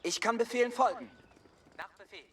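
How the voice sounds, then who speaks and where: An actor playing an urgent medieval archer calling out volleys in short game lines. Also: male voice, extremely high pitch, brisk, a bit bright, slightly rough, full character voice. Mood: urgent, martial. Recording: distant game audio with crowd noise.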